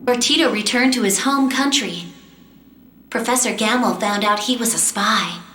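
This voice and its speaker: A woman voicing a determined, composed young female magician in a fantasy game, earnest and measured. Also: fairly high pitch, a bit bright, slight background noise, natural voice. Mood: wistful, reflective. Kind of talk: English-dubbed fantasy game cutscene acting and narration. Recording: roomy echo, game music behind.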